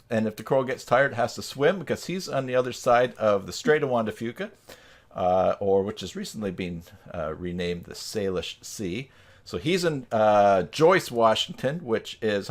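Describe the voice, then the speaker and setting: A man hosting a tutorial live stream in a casual, folksy, joking and meandering way, speaking into a headset microphone. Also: medium pitch.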